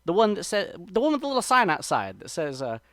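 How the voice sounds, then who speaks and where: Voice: mid-range voice, very animated, fast talker, a bit bright, no room sound, studio quality, pitched above the performer's own voice. A storyteller voicing a cunning rabbit in a folksy, exaggerated manner, feigning feelings in a spoken folktale. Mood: sly, coaxing. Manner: folksy, drawling, exaggerated pleading and relief. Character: cunning rabbit trickster, feigning relief and dread.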